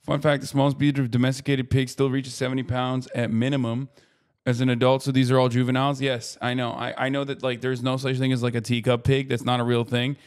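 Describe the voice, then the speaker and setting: A man's voice: mid-range voice, level tone, fast talker, few pauses, darkish tone. A man in his thirties giving casual livestream commentary into a close microphone. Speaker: livestream host.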